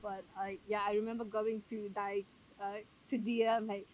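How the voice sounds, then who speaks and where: A woman's voice: medium pitch, flat delivery, normal speed, a little mellow, muffled recording, slight background noise. A woman hosting a casual interview podcast, talking in a chatty way with frequent fillers. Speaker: podcast host.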